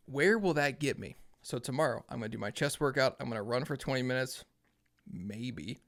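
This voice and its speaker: A man's voice: medium pitch, expressive, brisk, creaky, a bit bright. A young man hosting a podcast, talking alone in a casual, earnest, plainspoken way, thinking aloud. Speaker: podcast host. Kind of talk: casual solo talk.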